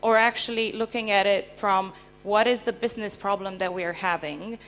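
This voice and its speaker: A woman's voice: medium pitch, steady tone, normal speed, a bit bright. A woman from Romania speaking as a panel guest, explaining things conversationally and often checking in with rhetorical questions. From Transylvania, Romania. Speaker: invited panel speaker, company founder. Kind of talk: panel interview, explanatory anecdotes. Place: live conference panel before an audience.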